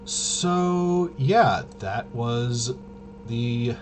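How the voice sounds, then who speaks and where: A man hosting a livestream with casual, unscripted commentary, talking in a halting, rambling way and often trailing off mid-sentence. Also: mid-range voice, expressive, soft background music. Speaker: livestream host. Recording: noisy, with clear background hum.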